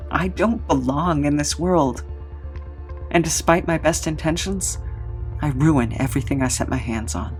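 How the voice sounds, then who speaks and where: A woman's voice: low-pitched, a little slow, pauses often, a little mellow, faint music behind. A woman playing a quirky, self-loathing drifter with a rambling, sardonic, conversational delivery in an audio drama. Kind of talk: naturalistic audio drama acting.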